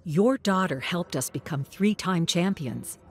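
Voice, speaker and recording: A woman's voice: fairly low pitch, animated, a little slow. A woman voicing a friendly young woman in a dramatic story, cheerful, polite and eager. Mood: warmly admiring. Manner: cheerful, courteous.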